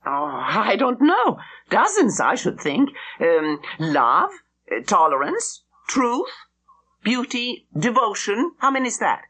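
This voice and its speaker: A woman's voice: mid-range voice, huge pitch swings, slow, fairly bright tone, breathy edge, pitched above the performer's own voice, full character voice. A woman voicing a naive, tender-hearted young English missionary woman in an audiobook, breathless and earnest. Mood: girlish amusement. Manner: breathless, tearful or eagerly earnest.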